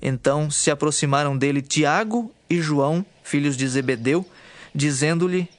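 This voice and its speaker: A man's voice: fairly high pitch, normal speed, dry recording. A man with a Brazilian accent narrating scripted, dramatized scripture. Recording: clear music bed behind the voice.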